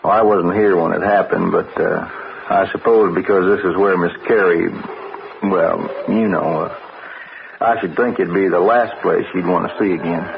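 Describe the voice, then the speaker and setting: Radio drama ranch hand: watchful, hesitant Western drawl, gravelly, on a muffled, noisy old broadcast. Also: male voice, low-pitched, fairly even intonation, normal speed. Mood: hesitant, folksy. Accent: American Western. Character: folksy, probing ranch stable hand, watchful and evasive.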